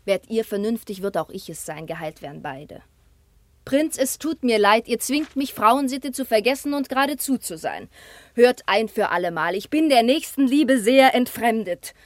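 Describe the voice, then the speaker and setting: An actress playing a proud, steadfast young princess in a classic radio drama, formal and declamatory. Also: female voice, a little high, lively intonation, moderate pace, no room sound. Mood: cool, scornful.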